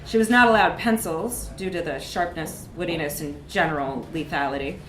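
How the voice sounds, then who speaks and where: A woman's voice: low voice, moderate pace, slight background noise. Woman reading wryly in an echoey room. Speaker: short story reader. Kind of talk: animated literary narration, live reading.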